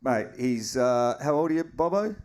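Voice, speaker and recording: A man's voice: medium pitch, animated, normal speed, darkish tone. A man hosting a live podcast, chatty and teasing in slangy banter. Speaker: podcast host.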